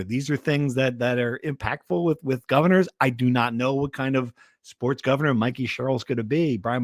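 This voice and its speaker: A man hosts a casual sports podcast with a mid-pitched voice. He restarts and rephrases as he goes, punching key words. The sound is close and dry. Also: no echo.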